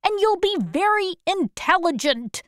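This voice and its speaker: A woman voicing a timid old man who poses as a wizard, pleading and apologetic, read slowly in a storybook. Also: very high voice, sing-song, a bit bright, no echo, pitched above the performer's own voice, heavily acted. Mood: explaining. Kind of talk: slow storybook reading.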